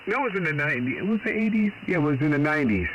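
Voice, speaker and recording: A man's voice: a little high, expressive, very fast, highs cut off. Middle aged guy on ham radio, rambling and folksy, bit of background hiss. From Boston. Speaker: amateur radio operator. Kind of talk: casual radio chat.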